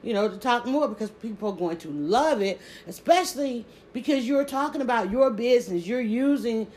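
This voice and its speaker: A woman with a Southern accent chatting casually on a live stream, talking quickly and restarting her sentences often. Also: a little high. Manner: rapid, stumbling restarts, animated emphasis. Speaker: social media creator.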